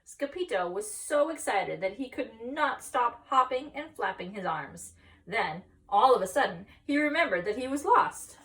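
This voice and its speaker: Animated high-voiced woman reading a picture book. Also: recorded from a distance, rough recording. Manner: animated, pauses to explain and question. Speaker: teacher reading at school story time. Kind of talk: expressive picture-book read-aloud with asides to children. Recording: voice some way from the mic.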